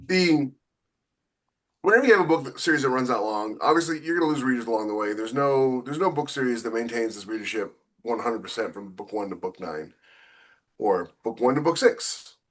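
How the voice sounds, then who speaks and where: A man's voice: mid-range voice, expressive, a bit bright. Man talking casually, thinking aloud. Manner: halting, thinking aloud, often repeating phrases. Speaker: podcast co-host and novelist. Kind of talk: casual talk about own books.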